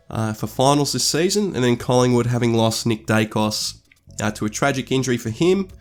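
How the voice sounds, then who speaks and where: A man, stuffy from a cold, talking through football statistics in a measured, thoughtful way with fillers and restarts. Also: medium pitch. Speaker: sports analyst. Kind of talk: scripted-ish stats commentary over slides.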